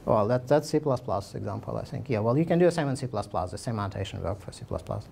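Gravelly-voiced man giving a casual tech talk. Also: lowish voice, animated, fast talker, warm tone, slight background noise. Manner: casual, filler-heavy, frequent "like" and restarts. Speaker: conference speaker. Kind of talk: technical talk with slides. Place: meetup room, indoors.

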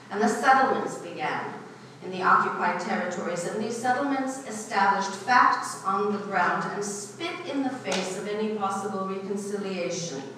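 A woman in her sixties from Israel, giving an earnest, measured talk from a podium in a university lecture hall. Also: fairly high pitch, a bit bright, slight background noise. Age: 60s. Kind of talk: prepared public talk. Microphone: podium, filmed from a distance. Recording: echoey lecture hall from far off.

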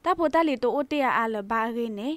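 A woman's voice: sits high, quick pace, no room sound. A woman radio news presenter reading scripted news.

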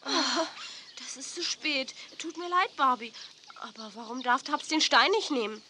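An actress playing an eager, impulsive young girl in a children's audio drama, excitable and a little pouty. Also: sits high, measured pace. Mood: pouting. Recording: noisy 1980s children's cassette play.

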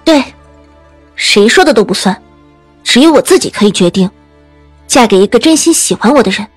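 A woman's voice: fairly high pitch, animated, moderate pace, husky, dry recording, soft background music. A woman playing a strong-willed young general's daughter in a period audio drama, earnest and composed. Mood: resolute.

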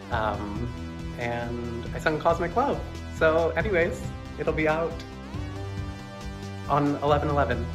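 Guy in his 30s doing a chatty upbeat vlog, mid-range voice, music playing behind him. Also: mid-range voice. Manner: effusive, upbeat. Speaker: vlogger and musician. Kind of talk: casual chat to camera. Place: small room indoors. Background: instrumental music.

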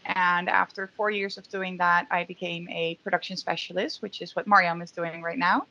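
A woman from the Netherlands, a safety engineer, talking in a relaxed, plain way on a video call. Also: fairly low pitch, normal speed, dull audio. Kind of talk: casual video-call chat.

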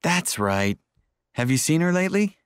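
Friendly man, played by an actor for language-course dialogue: lively intonation, dry studio sound. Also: a little high, normal speed, clean tone, no room sound. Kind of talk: scripted textbook dialogue.

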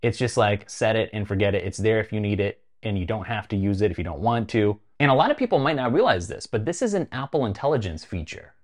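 Man in his forties, North American accent, lowish voice, conversational tech host, casual delivery, emphatic key phrases. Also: animated, fast, few pauses. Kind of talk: explainer vlog to camera, conversational. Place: small home studio room, indoors.

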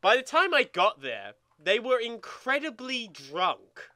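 A man's voice: very high-pitched, sing-song, slow, sharp tone, no room sound, clean studio sound. A man co-hosting a let's play with joking, sweary casual banter, often repeating himself for emphasis. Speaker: let's play co-host and gamer. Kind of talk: casual banter over competitive gameplay.

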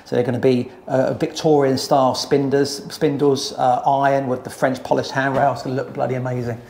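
A man in his thirties giving a casual walkthrough of a house under renovation, briskly listing the work room by room. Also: medium pitch, little pitch movement, a little mellow, a little hiss or hum. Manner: brisk, matter-of-fact, lists work item by item. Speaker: renovation company site manager giving a tour. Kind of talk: casual walkthrough site tour. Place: empty house under renovation, indoors, bare plastered rooms. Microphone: wide-angle camera carried by someone else, voice at a few meters.

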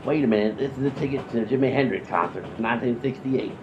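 A man's voice: mid-range voice, fast talker, noisy background, lightly acted. A polite, unflappable conductor played by a man in a radio comedy sketch. He speaks in a medium register with dry courtesy. The sound is slightly muffled. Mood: deadpan, polite. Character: polite, unflappable male train conductor, deadpan. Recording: muffled, dull podcast recording.

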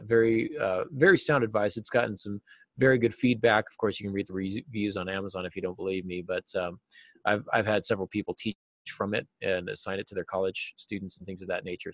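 A middle-aged man with a fairly low voice explains things conversationally as an interview guest. The sound is dry, with very little room to it, as he talks on a video call like a teacher. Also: no room sound. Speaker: guest, novelist and writing teacher. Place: small office, indoors.

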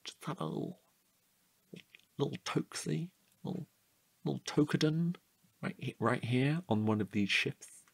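A man acting a chatty, curious night-watch guard in a naturalistic audio drama, husky and halting in a playful way. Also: mid-range voice, no room sound. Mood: playful, coaxing.

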